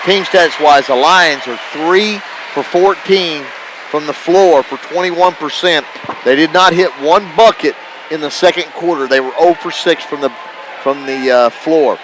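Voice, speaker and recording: An American man giving live radio analysis and stats on a high school basketball game from a noisy gym. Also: unhurried, fairly bright tone, some chatter behind. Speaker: radio color commentator. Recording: noisy gym broadcast with bouncing balls.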